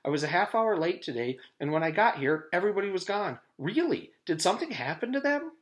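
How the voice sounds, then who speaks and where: Man voicing an anxious young schoolgirl, pitched high. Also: big pitch swings, fairly quick, muffled recording. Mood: frightened. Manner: earnest, flustered, pleading. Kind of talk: children's storybook read-aloud.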